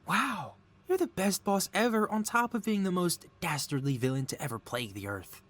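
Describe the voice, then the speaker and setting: A man playing a gleeful, lovesick supervillain mad scientist in an audio roleplay, melodramatic and wheedling with an air of feigned innocence. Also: fairly high pitch. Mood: smug, teasing. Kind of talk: ASMR audio roleplay, scripted character acting.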